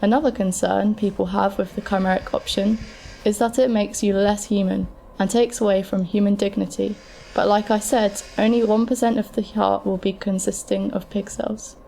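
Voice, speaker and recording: A woman playing a calm, frank transplant scientist, explaining options in a measured, scripted way for an amateur audio drama. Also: medium pitch, vocal fry, a little hiss or hum, close to an everyday voice, low-quality recording. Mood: reassuring, frank. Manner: measured, matter-of-fact, explanatory.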